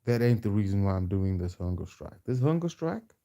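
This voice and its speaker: Male vlogger, very dark, relaxed tone with gravelly creak, affected Southern US drawl, slangy off-the-cuff monologue. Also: on the low side, highs cut off. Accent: put-on Southern US drawl. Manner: slangy, repetitive, halting. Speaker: vlogger addressing followers. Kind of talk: rambling casual monologue to camera.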